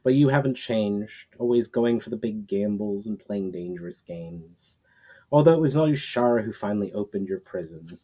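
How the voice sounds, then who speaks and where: A man voicing a wise, mysterious man in a storybook, speaking calmly and formally as a knowing old friend. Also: lowish voice, normal speed, lightly acted. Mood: amused, knowing.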